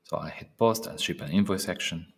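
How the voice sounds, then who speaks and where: A man narrating a step-by-step software training demonstration, speaking haltingly with pauses in mid-phrase. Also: low-pitched, creaky, husky. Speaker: software trainer presenting a tutorial.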